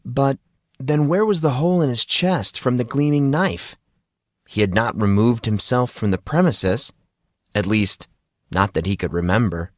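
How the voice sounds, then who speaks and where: Male narrator for fiction audiobook, mid-pitched, scripted read, very dry close sound. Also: animated, a little slow, no echo.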